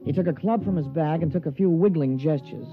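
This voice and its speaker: A man's voice: on the high side, a little fast, close to an everyday voice. Man acting a folksy American caddie, old-radio sound. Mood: storytelling. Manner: folksy, slangy, deferential. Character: plain-spoken loyal male golf caddie, narrating the tale. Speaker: narrator and caddie. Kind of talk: old-time radio drama acting and narration. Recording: dull vintage radio recording, music behind.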